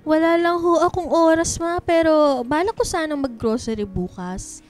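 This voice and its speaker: A woman playing an expressive young woman, a best friend and daughter, in a radio drama. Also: high-pitched, normal speed, natural voice. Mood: subdued, evasive. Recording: music bed behind the voice.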